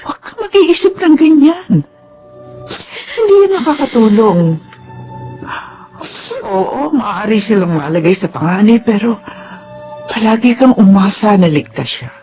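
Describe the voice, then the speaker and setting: A woman's voice: mid-range voice, lively intonation, unhurried, pauses often, music in the background, close to an everyday voice. A woman with a Filipino accent playing a caring older mother and grandmother in a radio drama, earnest, firm and admonishing. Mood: alarmed. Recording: dull, lo-fi radio audio.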